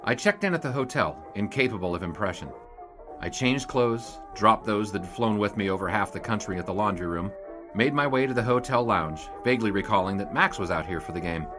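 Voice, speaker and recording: A man's voice: lowish voice, expressive, brisk, over music, natural voice. A man playing a dry, wisecracking 1920s newspaper sports writer in an audio drama, in both dialogue and narration. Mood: reflective.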